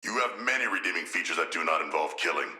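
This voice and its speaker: Very deep, forceful, erudite shark villain. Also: male voice, roomy sound, heavily acted. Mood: earnest, thoughtful. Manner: formal, eloquent, measured, deadpan diction. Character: hulking, erudite, gentle yet proud humanoid shark villain. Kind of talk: video game character voice lines.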